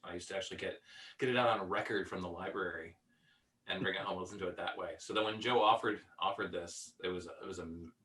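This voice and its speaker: Deep-toned man, distant from the mic. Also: fairly even intonation, sharp tone. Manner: halting, stammers over sentence starts. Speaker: voice actor and director being interviewed. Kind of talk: casual conversational interview. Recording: distant from the microphone.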